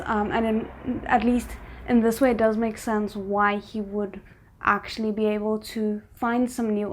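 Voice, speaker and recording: A woman's voice: mid-range voice, a little mellow. A woman giving casual reaction commentary as a host, musing and thinking aloud. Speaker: host of a drama reaction channel.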